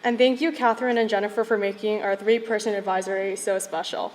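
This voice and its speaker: Young woman, late teens, soft and measured, giving a graduation talk at a podium. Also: medium pitch, fairly even intonation, background noise. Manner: soft-spoken, reserved, measured. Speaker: graduating student giving a speech. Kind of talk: prepared speech at a podium. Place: school event hall. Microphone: podium microphone.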